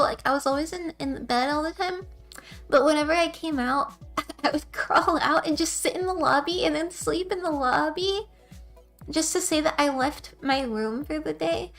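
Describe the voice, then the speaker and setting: A young woman with a high, soft, quiet voice chats casually with her viewers. She rambles and giggles, drifting between stories. Faint music plays quietly behind her. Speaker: streamer.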